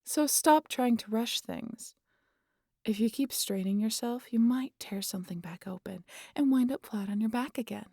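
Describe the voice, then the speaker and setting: Creaky, dry-humoured vampire woman, played by an actress. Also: on the high side, moderate pace, dark tone, dry recording. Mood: scolding concern. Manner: dry, teasing, sweary, casually scolding. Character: teasing, sardonic immortal female vampire, protective of wounded hunter. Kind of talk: intimate audio roleplay acting.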